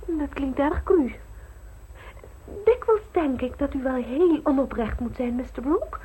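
A woman's voice: high voice, animated, unhurried, warm tone, rough recording. An actress playing a genteel eighteen-year-old society girl in an old radio play, prim and easily flustered. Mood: unsettled, wondering. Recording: old radio recording with clear noise.

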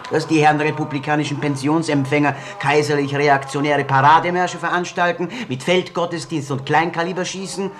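An actor playing a disgruntled, sardonic republican worker who grumbles about politics in a radio play. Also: male voice, on the high side, a bit bright. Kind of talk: German-language radio drama acting.

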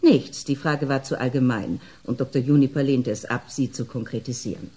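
A woman playing a reserved, precise psychotherapist in a radio drama, speaking in a clipped, formal, measured way. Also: extremely low pitch, heavy background noise. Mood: discreet, curt. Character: reserved, precise female psychotherapist with two doctorates.

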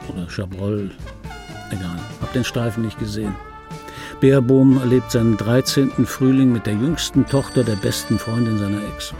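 An actor playing a disgruntled, wry middle-aged dubbing artist who tells his life in a rough voice, as a conversational radio play monologue. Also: medium pitch, normal speed, music in the background, lightly acted. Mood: sardonic reminiscing. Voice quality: rough, gravelly. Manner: sardonic, conversational storytelling. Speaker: narrator and lead of a radio play.

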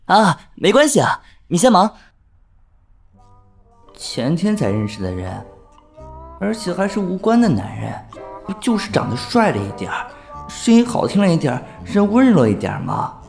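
A male actor as a shy, flustered young homebody in an audio drama. His pitch swings animatedly as he hesitates and stammers like someone hopelessly smitten. Music plays underneath. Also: wildly swooping pitch, moderate pace, creaky, pauses often, full character voice. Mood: apologetic, shy. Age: young adult. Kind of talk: Chinese audio drama acting with inner monologue.

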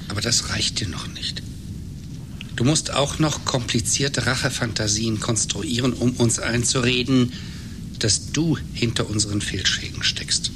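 An actor in a German radio play playing a sober, sceptical former friend who speaks in a measured, dry, reproachful way. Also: male voice, lightly acted. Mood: quiet scorn. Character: sceptical, scornful old friend, sober captain. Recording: noisy 1980s radio recording with rumble.

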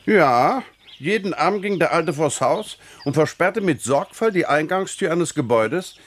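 A man playing a courteous, wry English gentleman husband in a German radio drama, urbane and fond of explaining. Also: on the high side, lively intonation, measured pace, a little hiss or hum. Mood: wry amusement.

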